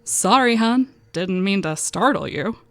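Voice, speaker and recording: A woman voicing a smooth-talking, unsettling male stranger in an audio drama, with a folksy drawl and a teasing manner. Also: mid-range voice, expressive, a little slow, a bit bright, no echo, faint music behind. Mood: sly, teasing. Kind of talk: audio drama character dialogue.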